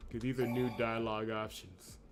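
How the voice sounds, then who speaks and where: A man's voice: on the low side, level tone, moderate pace, over music. A man hosting a gaming video, commentating on his visual novel playthrough.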